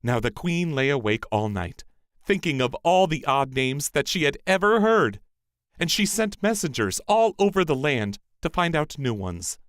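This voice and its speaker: A man narrating a scripted fairy tale as a storyteller, in an expressive, measured manner. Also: on the high side, no room sound, studio quality.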